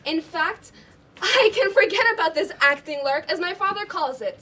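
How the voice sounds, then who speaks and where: High-voiced, defiant young drama student played by a woman. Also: animated, moderate pace, bright, forceful tone, exaggerated voice. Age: teenager or young adult student performer. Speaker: student stage actor. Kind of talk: amateur stage play acting.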